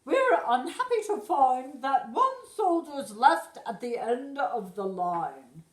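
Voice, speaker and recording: Haughty bug queen for a children's storybook, played by a woman: loud, raised pitch, imperious scolding, roomy sound. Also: sing-song, slow, full character voice. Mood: displeased. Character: displeased, haughty insect queen, regal and scolding. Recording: roomy echo.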